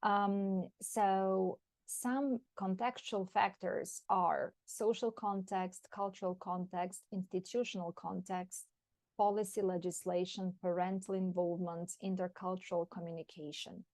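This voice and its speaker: A woman from Serbia, an English teacher and researcher, delivering a prepared talk in a measured, list-like way with frequent ums. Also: medium pitch, steady tone, darkish tone, dry recording.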